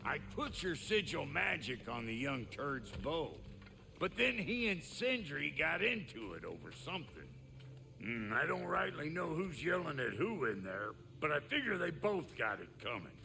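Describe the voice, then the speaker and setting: An actor playing a gruff, wisecracking dwarf blacksmith in a video game, with an American accent and a folksy, sardonic drawl. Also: male voice, a little high, very animated, moderate pace, bright, forceful tone, big-room sound, a little hiss or hum, heavily acted. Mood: wry, boisterous.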